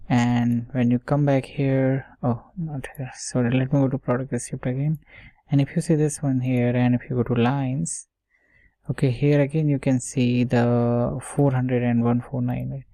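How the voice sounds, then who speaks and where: Slow, even-toned man giving a tutorial. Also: medium pitch, dry recording. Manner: halting, step-by-step, checks himself often. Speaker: software tutorial instructor. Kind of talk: informal screen-share coding tutorial, thinking aloud.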